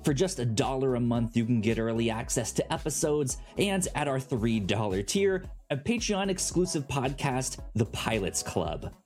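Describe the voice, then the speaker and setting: A man's voice: medium pitch, sing-song, moderate pace, hardly any pauses, a little mellow, faint music behind. A man hosting a podcast in a casual, chatty style, often stammering over sounds and words. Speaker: podcast host.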